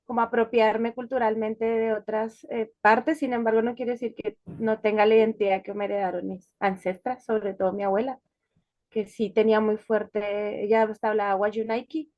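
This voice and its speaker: Woman, higher voice, thoughtful. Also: flat delivery, normal speed, dry recording, dull audio. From Colombia, Bogotá. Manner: thoughtful, hesitant, many fillers and restarts. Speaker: interview guest. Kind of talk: conversational life-story interview.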